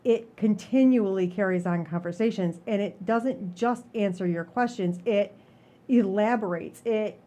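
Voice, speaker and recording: A woman's voice: medium pitch, a little slow, warm tone. A woman chatting casually as the co-host of a podcast. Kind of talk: casual chat.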